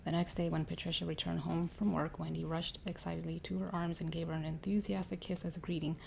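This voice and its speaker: A woman reading a ghost story aloud in a hushed, measured ASMR style, with occasional stumbles. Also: on the low side, little pitch movement, vocal fry, slight background noise. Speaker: story reader.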